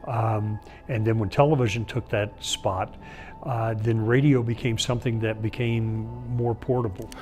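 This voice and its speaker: A man's voice: on the low side, fairly even intonation, normal speed, a little mellow, soft background music. A man giving reflective documentary interview commentary, explaining history in a hesitant, thoughtful way.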